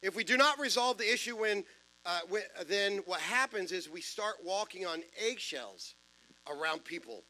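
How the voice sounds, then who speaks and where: Deep-voiced preacher in a church. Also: male voice, animated, normal speed, sharp tone. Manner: conversational preaching with self-deprecating jokes and asides. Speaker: pastor. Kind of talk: sermon. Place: church sanctuary.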